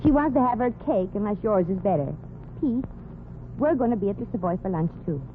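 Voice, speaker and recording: A woman's voice: mid-range voice, animated, brisk, slight background noise, lightly acted. An actress playing a streetwise, wisecracking young showgirl in a 1940s radio comedy. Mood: quick-witted. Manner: brassy, wisecracking. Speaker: lead character in a radio comedy. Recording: old radio broadcast, lo-fi.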